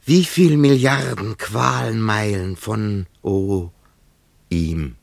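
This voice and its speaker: An actor playing a solemn, haunted scissors grinder who survived a massacre, in a poetic radio play with a halting, incantatory delivery. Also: male voice, on the low side, creaky, no echo. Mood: grieving, reverent.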